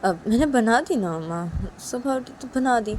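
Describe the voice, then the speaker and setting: Woman playing a gentle middle-aged mother-in-law, coaxing, apologetic, voice raised a little. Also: a little high, expressive, normal speed, slight background noise, pitched above the performer's own voice, natural voice, rough recording. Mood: placating.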